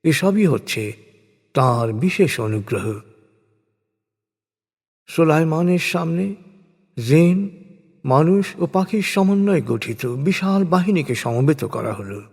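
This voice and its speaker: A man narrates scripture in a solemn, scripted style, his voice set a little high. He moves slowly and deliberately, phrase by phrase. A long, hall-like reverb trails each segment. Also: lively intonation, vocal fry, darkish tone, slightly rough.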